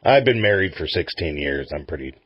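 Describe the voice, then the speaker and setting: Middle aged radio host guy, slightly husky, casual interviewing, dull dry sound. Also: a bass voice, animated, brisk, no room sound.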